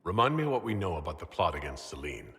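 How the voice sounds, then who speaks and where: An actor playing a resolute leader in a fantasy video game, speaking firmly and formally in measured phrases. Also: male voice, low-pitched, sing-song, pushed and bright, husky, full character voice. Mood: businesslike. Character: commanding, resolute young leader of a holy war effort.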